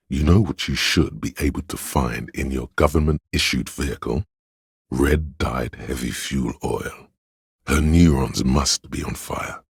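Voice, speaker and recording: A pompous, arty voice inside the head, narrating a video game in second person. The voice is extremely low and very husky, with a creaky edge. The delivery is theatrical and ponderous, like scripted art criticism, recorded close and dry. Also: male voice, dry recording, heavily acted. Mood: musing, grandiose. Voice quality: gravelly, husky, very deep. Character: inner voice of artistic imagination, pretentious, intellectual, male.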